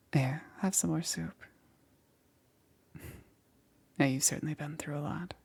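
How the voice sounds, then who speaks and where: Casting: woman to play a caring witch for ASMR roleplay, husky whisper with crackly fry, halting and reassuring. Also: deep voice, exaggerated voice. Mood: soothing, thoughtful. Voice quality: soft, breathy, often whispered. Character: gentle, apologetic witch necromancer caring for her undead patient. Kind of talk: ASMR roleplay, intimate and slow.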